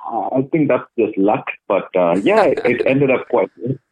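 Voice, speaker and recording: A man's voice: mid-range voice, lively intonation, unhurried, darkish tone, husky, dry recording. A man from Chennai, India, answering interview questions thoughtfully, with frequent hesitations. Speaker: guest, blockchain project lead. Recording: tinny phone line.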